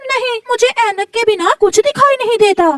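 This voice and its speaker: Woman voicing a shrill, fussy cartoon parrot. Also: moderate pace, dry recording, studio quality, distant mic. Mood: refusing. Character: fussy bespectacled male parrot.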